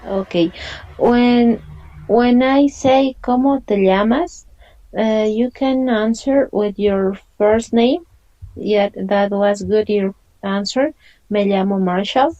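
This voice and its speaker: A woman's voice: mid-range voice, very slow, dark tone, muffled recording. A woman, teaching an online language lesson and patiently explaining and repeating questions. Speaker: Spanish teacher.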